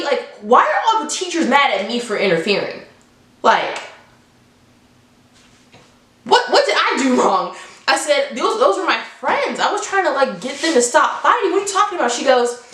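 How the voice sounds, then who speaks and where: A woman's voice: mid-range voice, a bit bright, echoey room. A young woman telling a personal story in a casual, slangy, emphatic way, often exasperated and acting out dialogue. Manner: emphatic, exasperated, repeats phrases for effect; informal slangy speech, acts out dialogue. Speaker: storytime vlogger.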